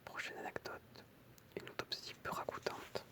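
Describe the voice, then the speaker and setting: A person whispering in an ASMR style, reading out short pieces in a soft, explanatory way with casual asides. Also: moderate pace. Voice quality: unvoiced, breathy whisper. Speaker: ASMR creator reading film trivia with commentary.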